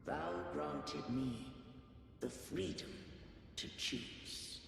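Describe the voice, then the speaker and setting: A man's voice: medium pitch, drawn-out words, gravelly, a bit bright, exaggerated voice. An actor playing an ancient, solemn male guardian of a fallen civilization in a video game, with grave, stately, archaic speech. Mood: grave, reflective. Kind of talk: dramatic video game voice acting. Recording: long echoing reverb, music underneath. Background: game music.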